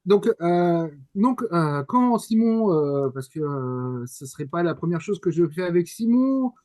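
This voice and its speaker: A man's voice: a little high, very animated, warm tone. A middle-aged man chatting casually as a panelist, hesitant and often restarting. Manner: hesitant, full of drawn-out pauses and restarts. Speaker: show panelist. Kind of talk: casual live show chat.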